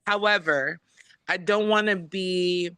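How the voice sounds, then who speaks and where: A woman from Samoa chats on a podcast in a bright, crisp and smooth voice. She talks in an effusive, spiritual way, full of "um" and "you know". The sound is close and dry. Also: on the low side, steady tone, slow pace, no echo. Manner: warm, effusive, spiritual talk with frequent fillers. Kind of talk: casual conversation.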